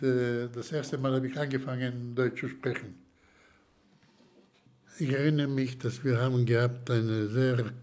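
An older man from Slovakia, giving slow, hesitant testimony in an interview with many pauses and restarts. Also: medium pitch, a little mellow. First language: German as childhood language, long unused.

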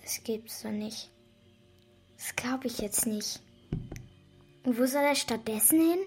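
A woman's voice: on the high side, slow pace, slightly rough, soft background music. An actress playing an earnest, youthful girl who is a devoted friend, in a German children's radio drama. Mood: shocked, upset.

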